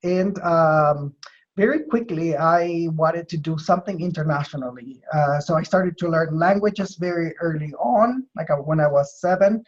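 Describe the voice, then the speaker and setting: A man's voice: a little high, normal speed. Chilean-accented man in dull lo-fi audio. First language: Spanish. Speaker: university administrator being interviewed. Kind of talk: interview answers, explanatory.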